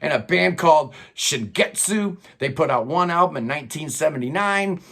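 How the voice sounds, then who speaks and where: Older male host, slightly high voice, enthusiastic casual countdown patter, home studio. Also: expressive, normal speed, vocal fry, a bit bright. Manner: enthusiastic, loose and chatty, with fillers. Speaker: host of a prog rock channel. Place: record-filled home studio room.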